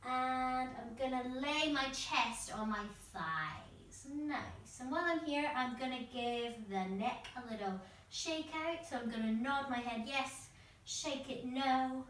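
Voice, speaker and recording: A woman leading a warm-up as a workshop instructor, guiding step by step in an encouraging way. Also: high-pitched, slow, slight background noise. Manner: encouraging, step-by-step, demonstrates as she talks. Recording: very echoey room, distant mic.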